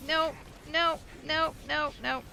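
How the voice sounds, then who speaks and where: High, bright-voiced woman streaming games. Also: steady tone, slow, gravelly. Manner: chatty, exclamatory, talks to self and game. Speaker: game streamer. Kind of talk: casual live gameplay commentary.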